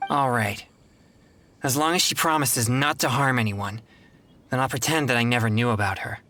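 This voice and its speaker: A man voicing an earnest, principled young exorcist in a video game, speaking politely in formal, measured wording. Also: mid-range voice, sharp tone, slight background noise, lightly acted. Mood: calm, resolute.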